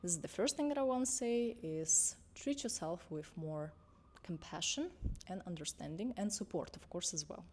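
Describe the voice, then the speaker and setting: Young female coach, low voice, unscripted, reassuring, self-correcting. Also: lively intonation, normal speed, a little mellow, a little hiss or hum. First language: Russian. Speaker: confidence and mindset coach. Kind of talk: unscripted vlog talk to camera.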